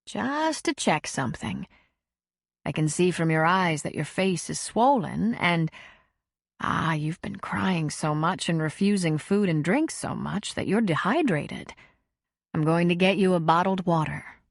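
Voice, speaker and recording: A woman voicing a calm, patient counselor who asks gentle, probing questions. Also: lowish voice, a little slow, vocal fry, no room sound, clean studio sound, heavily acted. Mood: caring.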